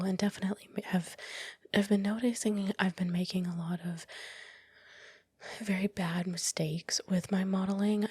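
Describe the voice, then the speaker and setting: A woman speaks in a soft, breathy ASMR whisper, very close to the mic with no room sound. Her voice sits slightly low. She narrates casually and without hurry, pausing as she finds her words. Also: dry recording. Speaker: ASMR creator narrating her time-lapse. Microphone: close microphone, dry voice-over.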